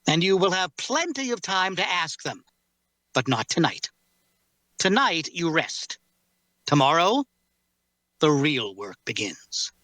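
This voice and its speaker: A man playing a solemn, learned warrior who bears a cursed sword, speaking in a measured, formal way in a fantasy drama reading. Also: on the high side, sing-song, bright, forceful tone, no room sound, full character voice. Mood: firm. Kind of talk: dramatic fantasy table read.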